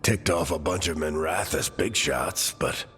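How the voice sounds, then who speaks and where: An actor playing a seasoned, injured team leader in a video game, wry, casual and encouraging. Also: male voice, a bass voice, unhurried, a bit bright, in a large hall, faint music behind.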